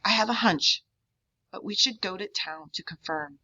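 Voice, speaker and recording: A woman voicing a curious, analytical young boy detective in a storybook, earnest and deliberate, on a dull, lo-fi recording. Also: medium pitch, bright, forceful tone, slightly rough. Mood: determined. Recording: dull, lo-fi sound.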